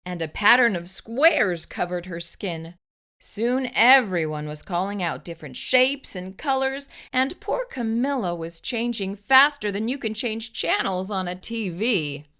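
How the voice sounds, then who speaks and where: A woman narrating a children's storybook in an expressive, deliberate way as a storyteller. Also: mid-range voice, smooth.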